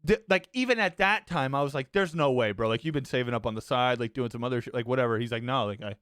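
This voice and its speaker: Casting: young male host, clear smooth voice, dry close sound, stumbling casual delivery. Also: mid-range voice, a bit bright, dry recording. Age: young adult. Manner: halting, filler-heavy, laughs often. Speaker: podcast host. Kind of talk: casual podcast chat.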